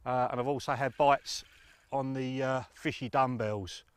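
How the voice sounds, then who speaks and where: A man's voice: mid-range voice, fairly even intonation, a little slow, slight background noise. A middle-aged man presents informal fishing tips outdoors in a matter-of-fact, explanatory way. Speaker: angling presenter. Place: outdoors on a lakeside bank.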